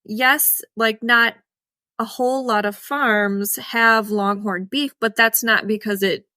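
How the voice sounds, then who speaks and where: A woman's voice: fairly high pitch, steady tone, takes it slowly, bright, forceful tone, no echo, clean studio sound. A young woman with a Midwestern accent, co-hosting a casual farming podcast, chatty and emphatic, laughing often. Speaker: co-host, cattle farmer.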